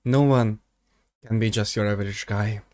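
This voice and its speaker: A man's voice: fairly even intonation, moderate pace, darkish tone, no echo, clean studio sound. Sarcastic young man mocking a clip. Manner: sarcastic, jumps up in pitch when exasperated; rambling with many fillers and restarts. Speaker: reaction video host. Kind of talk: mocking reaction commentary.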